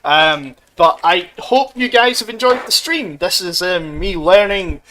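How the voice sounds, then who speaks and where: Bright-voiced streamer, chatty and wry. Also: male voice, high-pitched, unhurried, slight background noise, low-quality recording. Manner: chatty, wry, morbid humor, many fillers. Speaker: streamer and channel host. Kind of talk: casual chat while streaming a game. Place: shared room at a home gaming gathering.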